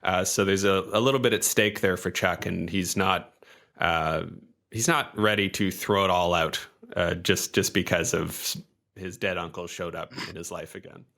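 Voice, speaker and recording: A man playing a tabletop game, casually improvising a story about his character with halting starts. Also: low-pitched, steady tone, creaky, pushed and bright.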